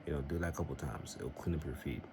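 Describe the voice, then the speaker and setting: Very deep-voiced man, earnest vlog talk. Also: expressive, quick pace, creaky. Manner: earnest, rambling, preachy. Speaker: vlogger. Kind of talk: casual faith-based vlog talk. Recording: noisy home recording.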